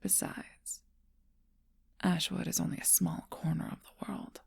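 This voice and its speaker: A woman voicing a seductive, ageless, possessive female vampire in an ASMR role-play, speaking in a soft, breathy whisper with slow, intimate teasing. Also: fairly low pitch, sing-song, dry recording, studio quality, exaggerated voice.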